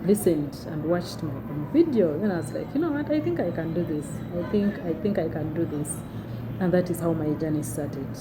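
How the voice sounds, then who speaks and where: A young adult woman with a big, deep voice tells a personal story to her phone camera in a chatty way with many fillers. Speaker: vlogger. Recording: noticeable background noise.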